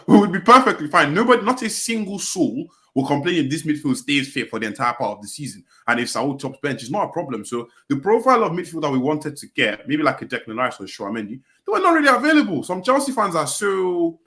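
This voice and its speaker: Bright, lively man pressing his point. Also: on the high side, fairly quick, hardly any pauses. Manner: emphatic, sprinkles "like" and "bro". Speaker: podcast panelist. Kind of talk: casual football chat. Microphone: headphones on a video call.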